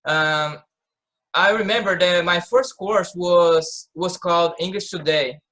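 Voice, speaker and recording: Man, late twenties, bright tone, halting repetitions. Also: high voice, rough recording. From Brazil. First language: Portuguese. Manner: halting, repeats words while searching. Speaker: participant. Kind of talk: casual group discussion.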